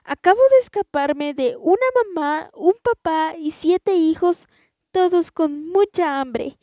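A woman voicing a frightened, indignant runaway tortilla in a storybook reading, with a high, loud, exclaiming voice. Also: slow pace, no room sound, clean studio sound.

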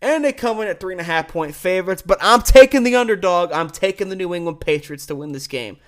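A man with an American accent and a distinctly high-pitched voice hosts a sports show on his own. He delivers commentary and predictions in a blunt, opinionated way. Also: animated, fast, fairly bright tone. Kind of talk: solo sports commentary with game picks.

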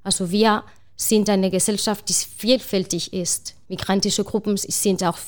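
A woman's voice: mid-range voice, steady tone, a little slow. A woman from Spain speaking German with a Spanish accent, a panel guest who argues earnestly and fluently, with a few searching restarts. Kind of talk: panel discussion.

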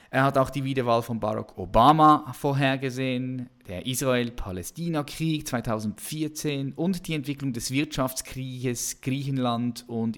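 A man with a mid-pitched voice hosts a podcast. He talks informally and with hyped enthusiasm, in a casual presenting style. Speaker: podcast host. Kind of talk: casual podcast introduction and interview.

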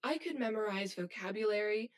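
A woman's voice: medium pitch, animated, a little fast, natural voice. A woman acting as an anxious, hollow female robot in an audio drama, speaking in formal, stilted, literal phrases. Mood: detached confession.

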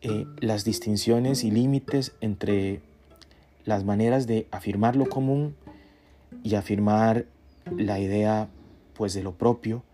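A man giving a reflective academic lecture in a measured, deliberate way, breaking his phrases with pauses. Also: lowish voice. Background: guitar music.

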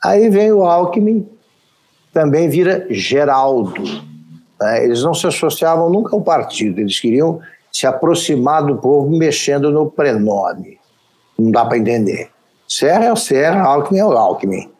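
A man's voice: fairly high pitch, lively intonation, moderate pace, a little mellow, slightly rough. A man with a Brazilian accent hosting a political talk show, giving opinionated, wry commentary. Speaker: show host.

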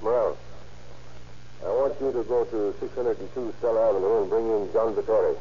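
An actor playing a brisk, commanding homicide captain in old radio crime drama style, clipped and authoritative. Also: male voice, fairly low pitch, steady tone, mellow, close to an everyday voice. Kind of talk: 1930s-40s radio crime drama acting. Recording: muffled, noisy old-time radio recording.

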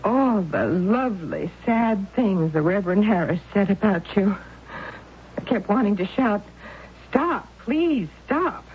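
An actress playing a devoted, worried middle-aged aunt with earnest, emotional pleading in a 1970s radio drama. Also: female voice, mid-range voice, sing-song, a little slow. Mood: anxious. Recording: dull old radio broadcast with faint hiss.

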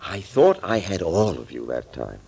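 Male mad doctor mastermind for 1940s radio thriller: low voice, sweeping intonation, urbane and amused. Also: very animated, moderate pace, gravelly, dark tone, husky, full character voice. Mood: gleeful, boasting. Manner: urbane, amused, theatrical, mockingly courteous. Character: suave, gloating mad doctor, a master villain and impersonator. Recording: old scratchy 1940s radio recording.

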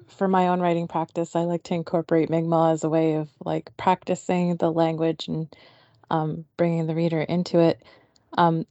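Gravelly, low-toned woman podcast host. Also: warm tone, no room sound, dull audio. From Atlantic Canada. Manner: friendly, chatty, fillers like um. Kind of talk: casual interview chat.

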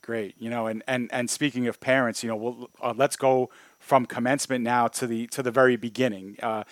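A man's voice: medium pitch, little pitch movement, fairly quick, dry recording. A man from Brooklyn, co-hosting an interview and asking questions conversationally, with many "you know"s. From United States (Brooklyn). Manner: friendly, uses "you know" often. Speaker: podcast co-host. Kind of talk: casual interview.

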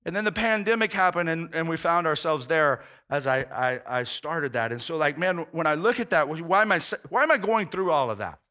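A man's voice: fairly high pitch, lively intonation, brisk, few pauses, sharp tone. A male pastor preaching a conversational sermon, earnest and informal, often restarting phrases and saying "man".

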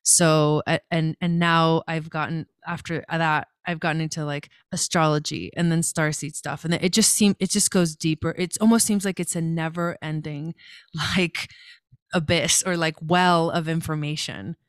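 A young woman speaks in a smooth, resonant voice that sits a little low. She tells her story casually and reflectively, wandering from thought to thought. The sound is very dry and close, with no room around it. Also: steady tone, normal speed, no echo. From Los Angeles area, California. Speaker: guest.

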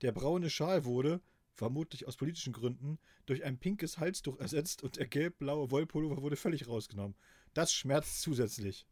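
A middle-aged man from East Germany co-hosting a podcast, reading aloud in a dry, deadpan way and chatting casually. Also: medium pitch, normal speed, dry recording. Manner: dry deadpan delivery, laughing at his own reading. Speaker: podcast co-host.

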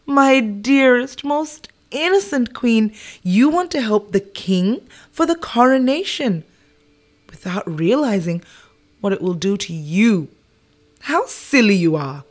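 A woman's voice: mid-range voice, big pitch swings, takes it slowly, darkish tone. A woman voicing a greedy, scheming old female maid with a dramatic, sly, whispering manner. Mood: mocking.

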